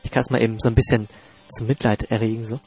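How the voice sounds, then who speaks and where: A man's voice: mid-range voice, little pitch movement, fast, creaky, a little mellow, breathy edge, soft background music. A man with a stuffy cold hosting a podcast in a chatty, jokey way with loose fillers. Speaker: podcast host. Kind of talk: casual podcast presenting. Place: home in Hamburg.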